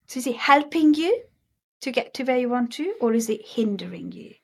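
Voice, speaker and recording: A woman's voice: a little high, lively intonation, normal speed, gravelly, darkish tone, husky. A woman from Hungary with a mixed Hungarian accent, speaking as a guest in a casual interview about mindset and stretching words for emphasis. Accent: Hungarian mixed with British and American.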